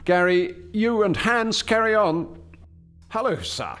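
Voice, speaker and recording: A man's voice: sits high, animated, slow, fairly bright tone, faint music behind, exaggerated voice. An actor playing an earnest alien scientist in a radio play, hesitant and deferential as he explains and defends his work. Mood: flustered.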